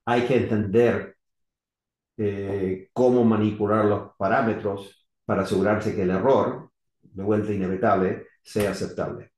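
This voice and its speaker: A middle-aged man from Argentina, now living in the US, giving an unscripted technical talk on electrical safety in halting, rusty Spanish full of restarts and fillers. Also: on the low side, steady tone, darkish tone. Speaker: electrical safety engineer presenting. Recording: echoey room.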